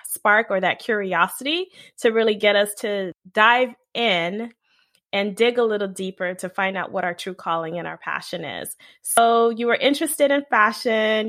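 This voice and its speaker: A woman's voice: medium pitch, fairly bright tone. A woman hosting an interview podcast, who asks questions in an upbeat, encouraging, polished way. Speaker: podcast host.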